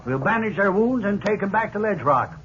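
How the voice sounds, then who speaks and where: An actor playing a plain-spoken, confident sheriff with folksy talk in a 1950s radio western. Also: male voice, high-pitched, lively intonation, a little fast, a bit bright. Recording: dull old radio broadcast, faint hiss.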